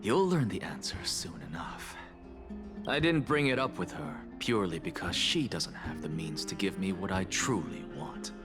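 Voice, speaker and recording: A proud, scheming scholar from a video game, with a very deep voice that rises and falls freely. He is aloof and sardonic, measured and theatrical, with music playing underneath. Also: sing-song. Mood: cool, knowing. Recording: background music behind the voice.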